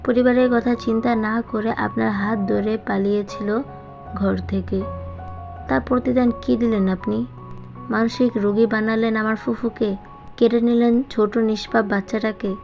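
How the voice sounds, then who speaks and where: A woman's voice: on the high side, creaky, darkish tone. Woman voicing a bitter, accusing young man, muffled sound. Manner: bitter, accusing, through clenched teeth. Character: angry young man, bitterly accusing, protective of his aunt. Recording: dull sound with music behind.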